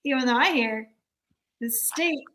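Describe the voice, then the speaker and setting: Woman doing a tipsy snooty lady director, high voice, slow rambling delivery, stage comedy. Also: a bit bright. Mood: tipsy, bossy. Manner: slow, rambling, repeats herself. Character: tipsy, haughty female theater director from New York. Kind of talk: comic staged play reading.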